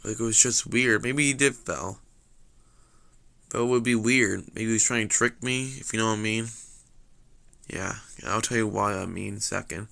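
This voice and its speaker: A young man with a slightly raspy voice telling a rambling story, full of fillers and restarts. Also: fairly low pitch, fairly even intonation, moderate pace, pauses often, bright, forceful tone. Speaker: vlogger.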